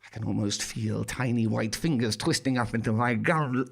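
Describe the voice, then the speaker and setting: A man performing a theatrical horror monologue as a haunted man dwelling on gruesome details, with a husky, gravelly voice. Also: medium pitch, normal speed. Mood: anguished, wry. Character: haunted man recounting a grisly hotel nightmare, wry and dramatic. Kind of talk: dramatic monologue performed on stage.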